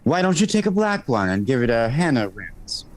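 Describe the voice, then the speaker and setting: A man's voice: mid-range voice, very animated, normal speed, natural voice. A man playing a sarcastic, fussy store clerk in old-time radio comedy, arch and mock-polite.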